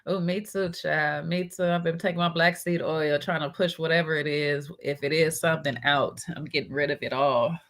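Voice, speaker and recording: A woman with a stuffed-up nose hosts a relaxed live online show. Her voice sits a little low, and she talks in loose, slangy runs broken up by frequent laughs. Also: normal speed, few pauses. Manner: chatty, laughs often, slangy. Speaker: host of a reality-show recap livestream. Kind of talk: casual chat.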